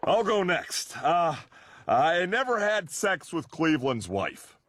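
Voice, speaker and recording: A man voicing a sleazy, uninhibited, casual bachelor in an animated sitcom. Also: on the high side, takes it slowly, heavily acted. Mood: sly, casual. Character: sleazy, uninhibited cartoon bachelor playing drinking game. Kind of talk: animated sitcom comedy acting.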